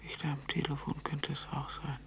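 An actress playing a wistful, lonely telephone operator in a radio drama monologue, hushed, breathy and restrained. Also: female voice, low-pitched, little pitch movement, normal speed, whispered. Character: wistful, lonely telephone operator recalling her one chance.

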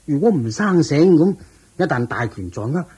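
An actor playing a wealthy old merchant and landlord in a Cantonese radio drama, with a drawn-out delivery of chuckles and sighs. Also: male voice, a little high, very animated, mellow, husky, exaggerated voice. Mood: worried, weary.

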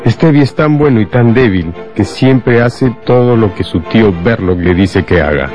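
A man's voice: on the low side, lively intonation, moderate pace. A man narrating a scripted radio drama as storyteller. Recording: loud music and crowd sound behind.

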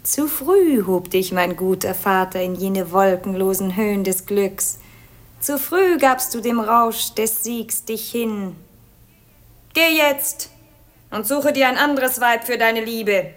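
An actress playing a proud, cold princess, declaiming solemnly with wounded defiance in a radio play. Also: female voice, fairly high pitch. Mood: defiant triumph. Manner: solemn, haughty, measured.

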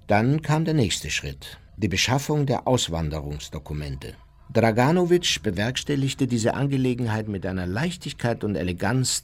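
An unrepentant fugitive war criminal in a radio play. He tells his own story in a measured, formal way, at a middle pitch, with smug composure. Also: breathy edge. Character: smug unrepentant male Nazi fugitive recounting his escape. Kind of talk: scripted first-person narration in a radio play.

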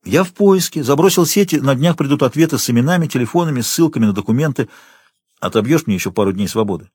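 A narrator plays a driven young male journalist, stubborn and insistent. His speech is terse and mid-pitched, in a dry audiobook sound. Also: animated, fast, a little mellow, slightly rough, no room sound.

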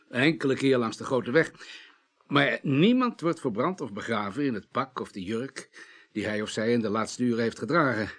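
An actor playing a chatty, obliging undertaker in a radio drama, with a wry, mock-ceremonious way of talking. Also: male voice, mid-range voice, lightly acted. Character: chatty male undertaker, obliging, wryly jovial.

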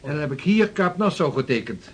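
Husky, earnest seafaring mapmaker. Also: male voice, on the high side, expressive, brisk. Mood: earnest, persuasive. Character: earnest, practical seafaring mapmaker and expedition leader. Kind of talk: historical radio drama acting.

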